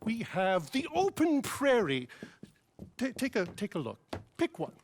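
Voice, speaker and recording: A man's voice: high voice, huge pitch swings, unhurried, slight background noise, full character voice. A man playing an effusive, fussy and flamboyant old-time portrait photographer in a video game. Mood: effusive, salesmanlike. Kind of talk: theatrical video game acting.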